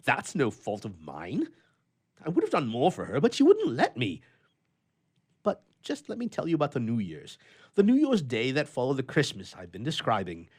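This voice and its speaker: Man playing a wildly animated old-fashioned gentleman. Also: mid-range voice, moderate pace, husky. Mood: emphatic, defensive. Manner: theatrical, exclamatory, old-fashioned phrasing. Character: hearty reformed old bachelor gentleman recounting his holiday. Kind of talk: dramatic reading for radio theatre.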